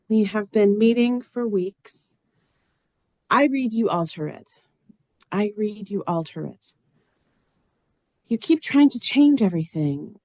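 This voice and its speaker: Woman acting earnest tired farm mom in a stage play, kinda otherworldly, dry close sound, medium pitch. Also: darkish tone, no room sound. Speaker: actor in a staged reader's theater.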